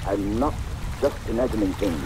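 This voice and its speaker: An actor playing a vain, fretful stage star with a grandiose, hesitating delivery in a vintage radio drama. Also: male voice, lowish voice, moderate pace, noisy background, natural voice. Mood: fretful, dramatic. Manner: grandiose, effusive, flustered hesitations. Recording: old radio broadcast under steady rain and water.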